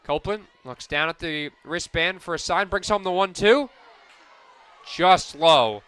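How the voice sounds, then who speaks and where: A man calling softball play-by-play for radio, narrating each pitch and play in crisp descriptive detail. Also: unhurried. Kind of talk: live softball radio commentary.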